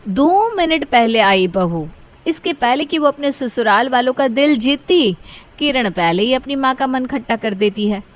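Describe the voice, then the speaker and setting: High-voiced woman storyteller. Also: animated, a little slow. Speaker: story narrator. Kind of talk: scripted storytelling narration.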